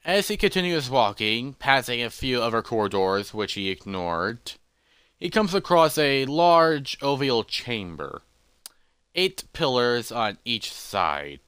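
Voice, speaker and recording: A man narrating a fantasy adventure script in a halting, loosely read way, with dramatic pauses. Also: mid-range voice, very animated, a bit bright, smooth, dry recording.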